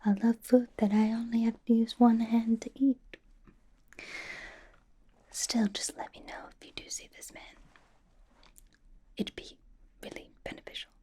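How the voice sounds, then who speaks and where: A woman's voice: fairly high pitch, little pitch movement, a little slow, long pauses, warm tone, slightly rough. A woman playing a quirky, playfully suspicious young superheroine in an ASMR roleplay, coaxing and questioning in an airy whisper. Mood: confiding, playful. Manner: coaxing, playful mock-interrogation, repeats phrases. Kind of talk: ASMR roleplay, personal attention.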